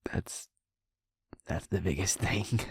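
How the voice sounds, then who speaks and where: A man playing a sweet, shaken young werewolf boyfriend in an intimate audio roleplay, halting and stammering, with a breathy, husky voice. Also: deep voice, dry recording, studio quality, exaggerated voice. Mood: sheepish, reassuring. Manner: halting, hushed, stammering, sighing.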